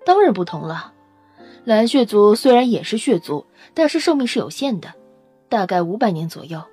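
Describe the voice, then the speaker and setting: Woman acting a mellow, solemn half-blood beauty. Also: medium pitch, husky. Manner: solemn, measured lecturing. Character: dark-haired half-blood alien beauty, solemn, wistful expounder. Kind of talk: Chinese audio drama acting. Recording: music under the voice. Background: background music.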